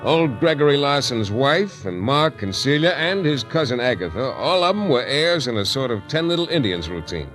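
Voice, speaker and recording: An actor playing a tough, wry private detective in slangy hard-boiled 1940s radio style. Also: male voice, medium pitch, sing-song, moderate pace, hardly any pauses, a bit bright. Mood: brooding narration. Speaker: lead actor and narrator. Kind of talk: 1940s radio detective acting with first-person narration. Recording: 1940s radio recording, music behind.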